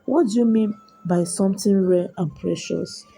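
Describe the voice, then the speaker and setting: A woman voicing a devoted, anxious young wife in a storybook tale, earnest and unhurried. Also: mid-range voice, gravelly, warm tone, husky. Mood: anxious, puzzled. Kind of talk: storybook character dialogue. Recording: music behind the voice.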